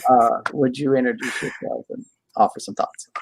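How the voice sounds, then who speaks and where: A man's voice: mid-range voice, steady tone, moderate pace, background noise. A middle-aged man who is a lead pastor, courteously welcoming guests as host of a panel discussion on a video call. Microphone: webcam on video call.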